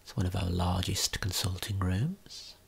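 A man narrates a guided tour in ASMR style. His voice is very breathy and whispered, with a creaky edge. He explains gently and takes his time with each phrase. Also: sits low, steady tone, unhurried.

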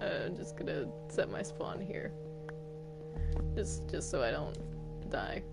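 A woman's voice: deep voice, lively intonation, slow, gravelly, over loud music. A woman playing a multiplayer game, chatting casually and partly in character. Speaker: player in a Minecraft roleplay. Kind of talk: casual in-character gaming chat.

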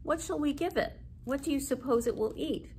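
A woman reading as an earnest eight-year-old girl, pitched up. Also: normal speed, a little mellow, slight background noise. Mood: puzzled.

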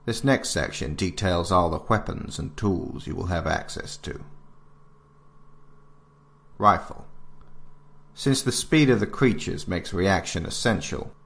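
Stern field-manual narrator, male actor: very low pitch, gravelly fry, formal and authoritative. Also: animated, unhurried, pauses often, fairly bright tone, full character voice. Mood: grave, instructive. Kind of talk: scripted narration of an alternate-history field manual.

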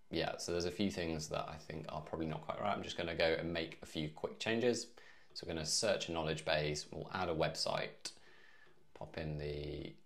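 Very deep-voiced man, casual tutorial. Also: a bit bright. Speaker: tutorial presenter. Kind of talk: casual step-by-step software tutorial. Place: small home office, indoors.

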